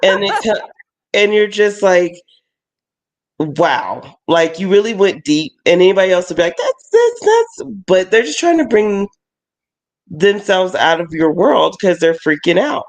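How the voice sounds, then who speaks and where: A woman with a gravelly edge to her mid-pitched voice chats about her work as a haunted attraction performer. She rambles along loosely, full of fillers and restarts, easy and unhurried. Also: animated. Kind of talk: casual chat.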